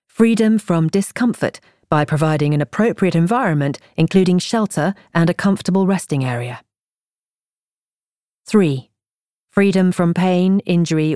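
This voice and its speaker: A woman reading a nonfiction audiobook in a measured, articulate way, as a scripted narrator. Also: lowish voice, mellow, no echo, clean studio sound.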